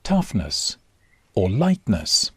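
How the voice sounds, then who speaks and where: A man guiding a body scan meditation in short, measured instructions with long pauses. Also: on the low side, wildly swooping pitch, pushed and bright, slightly rough, no room sound. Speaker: meditation guide. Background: nature sounds.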